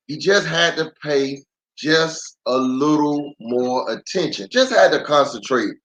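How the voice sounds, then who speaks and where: A man reading a children's story aloud in an expressive, dramatic way with deliberate pauses. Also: fairly high pitch. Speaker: storyteller, pastor. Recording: roomy echo, faint music.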